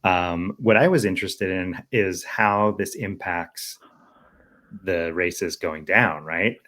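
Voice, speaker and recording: A man's voice: sits low, slow, a bit bright. An American man co-hosting a podcast in casual political discussion, thinking aloud and often checking for agreement. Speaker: podcast co-host.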